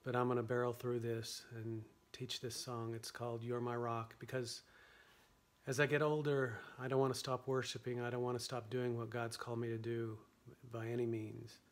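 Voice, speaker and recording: An older man with a hoarse, weakened voice, talking earnestly and quietly to the camera about a worship song he wrote. He is seated at home at a piano, speaking into a stand microphone. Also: mid-range voice, little pitch movement, moderate pace. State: hoarse, voice weakened after surgery. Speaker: worship songwriter teaching a song. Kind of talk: earnest spoken talk to camera. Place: home room with a piano, indoors. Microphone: stand microphone in front of him.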